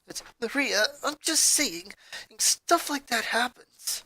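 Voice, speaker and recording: A woman's voice: a little high, expressive, slow pace, pushed and bright, no room sound, pitched above the performer's own voice, full character voice, low-quality recording. Woman putting on an easygoing, joking dad. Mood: defensive. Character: easygoing, joking father, mildly irritated. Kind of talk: fan fiction character voice.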